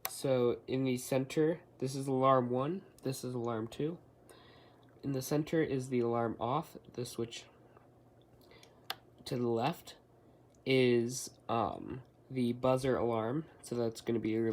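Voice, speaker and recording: Man, casual product walkthrough, hesitant explanations, mid-pitched voice, background noise. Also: measured pace, darkish tone. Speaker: hobbyist product reviewer. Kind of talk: casual unboxing and demonstration.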